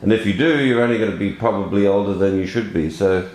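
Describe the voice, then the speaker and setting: A man's voice: on the low side, fast, darkish tone. A man in his fifties from Wales, an actor chatting casually in an interview in a rambling, jokey way. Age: 50s. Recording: echoey room with faint noise.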